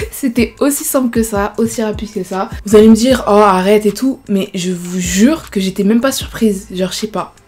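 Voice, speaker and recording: A woman's voice: mid-range voice, moderate pace, a little mellow, soft background music. A woman in her early twenties telling her story in a chatty storytime vlog, full of fillers. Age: 20s. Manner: chatty, many fillers like genre and voilà. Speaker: YouTuber.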